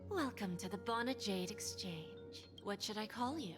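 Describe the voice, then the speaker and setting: Actress as a seductive sly dealmaker woman, silky breathy delivery, expressive pitch, measured, video game, background music. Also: close to an everyday voice. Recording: music behind.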